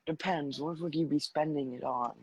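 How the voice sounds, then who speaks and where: A man's voice: fairly high pitch, moderate pace. A young man in casual chat as a co-host, giving short, teasing replies.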